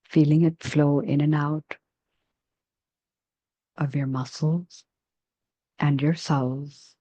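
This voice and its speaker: A woman leading a guided meditation in a soft, soothing, measured way with long pauses between phrases. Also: sits low, steady tone, no echo, lo-fi sound.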